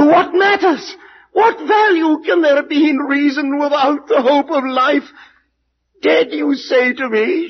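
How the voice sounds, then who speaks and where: An actor playing a morbid, grief-maddened last heir of a doomed family in vintage radio horror, theatrical and volatile between hushed and feverish delivery. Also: male voice, extremely high pitch, heavily acted. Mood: frenzied despair. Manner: theatrical, swinging from hushed whispers to feverish outbursts. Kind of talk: 1940s-50s radio horror drama acting. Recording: dull, noisy vintage radio recording.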